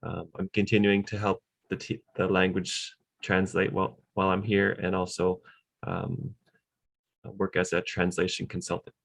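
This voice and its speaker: A man introduces himself on an online panel over a video call, speaking haltingly with many fillers. His voice is very deep and gravelly, and stays almost completely flat in pitch. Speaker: panelist, translation consultant.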